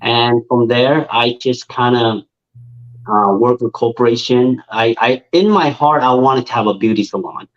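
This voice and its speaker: A man in his thirties from Vietnam, a guest telling his life story in a casual interview, growing animated when he quotes himself. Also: medium pitch, unhurried, highs cut off. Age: 30s. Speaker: guest, nail technician and influencer.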